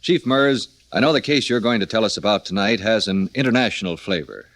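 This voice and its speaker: A man's voice: on the low side, expressive, fairly quick, a bit bright. A man hosting a 1940s radio crime programme in a scripted style. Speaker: radio show host.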